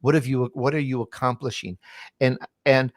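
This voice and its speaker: A man's voice: medium pitch, fairly even intonation, moderate pace, no echo. Confident man talking up business, dry sound. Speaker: interview guest, podcaster and entrepreneur. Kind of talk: conversational storytelling and advice.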